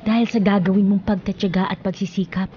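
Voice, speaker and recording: Radio drama: actress as caring but admonishing Filipino aunt, measured delivery, mid pitch, muffled recording, faint noise. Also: female voice, flat delivery, mellow, lightly acted. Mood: earnest advice. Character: kindly, moralizing aunt advising her young niece. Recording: dull lo-fi sound, faint noise.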